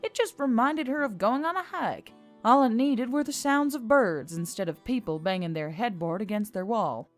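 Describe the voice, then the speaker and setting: A woman's voice: fairly high pitch, lively intonation, normal speed. A woman narrating a scripted fantasy story as an audiobook reader. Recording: music under the voice.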